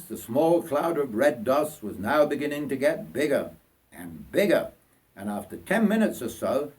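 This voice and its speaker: Older male narrator from northern England: unhurried, husky, animated intonation for dramatic autobiographical storytelling. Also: medium pitch. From North Yorkshire, England. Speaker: writer reading own story.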